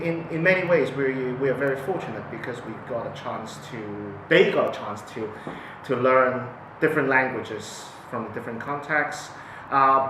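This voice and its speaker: A man in his forties from Hong Kong, a native Cantonese speaker, talking unscripted about his family and often pausing, restarting and filling with "um" and "like". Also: mid-range voice, far from the mic, background noise. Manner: hesitant, many restarts and fillers. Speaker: parent sharing his experience. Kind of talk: unscripted talk to camera. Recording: echoey room, mic set back.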